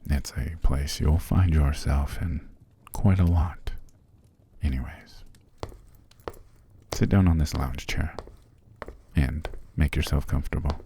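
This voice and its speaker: A man playing a possessive, seductive vampire master in an ASMR roleplay, calm and commanding, slow and formal, often sinking to a gravelly whisper. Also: very deep voice, a little hiss or hum, heavily acted. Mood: commanding, smooth. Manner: slow, formal, soothing but controlling.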